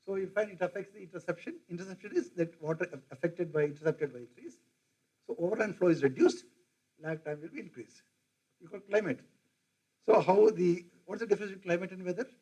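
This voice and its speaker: A man's voice: high voice, brisk, dark tone, slightly rough, rough recording. An older man with an Indian English accent giving a rambling lecture to teachers, often asking his audience questions. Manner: digressive, asks the audience questions. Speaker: professor. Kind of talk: lecture to faculty.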